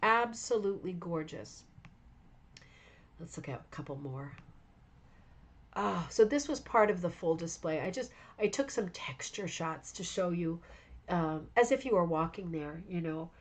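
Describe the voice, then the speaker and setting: A woman's voice: fairly low pitch, expressive, measured pace. A woman hosting a rug hooking club stream, tired and losing her voice, talking enthusiastically and rambling while chatting with viewers by name. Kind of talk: live stream show-and-tell chat.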